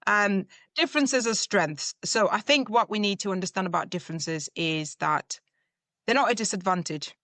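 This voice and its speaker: A woman's voice: medium pitch, moderate pace, a bit bright, no echo. A woman from Zambia, a leadership coach, answering interview questions in a reflective way with everyday examples.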